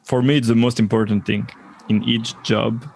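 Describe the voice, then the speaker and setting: A man's voice: medium pitch, flat delivery, moderate pace, gravelly, a little mellow, husky. A man from Belgium, speaking in a casual interview as a podcast guest. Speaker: podcast guest, sports journalist and teacher.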